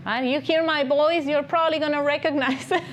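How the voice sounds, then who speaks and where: A woman's voice: high voice, fairly even intonation, a little fast, dull audio. A woman with a non-native English accent giving an encouraging, conversational business talk and often checking in with "right?". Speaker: guest speaker, agency founder and CEO.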